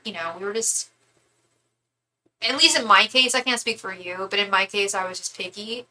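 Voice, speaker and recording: A woman's voice: a little high, sharp tone, a little hiss or hum, low-quality recording. A woman in her thirties giving a live tarot reading, chatty and rambling, with many asides and restarts. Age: 30s. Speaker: tarot reader.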